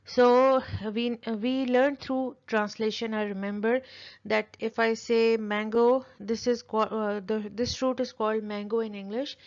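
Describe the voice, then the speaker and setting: A woman's voice: fairly high pitch, fairly even intonation, measured pace. A woman who speaks Urdu natively gives an explanatory tutorial as a teacher, repeating her points with simple examples. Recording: dull, lo-fi audio.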